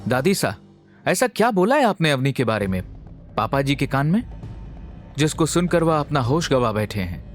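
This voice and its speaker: A man's voice: mid-range voice, sing-song, moderate pace, faint music behind, lightly acted. A man playing an outraged, protective young husband in an audio drama, heated and confrontational. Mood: demanding, upset. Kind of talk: Hindi audio drama acting.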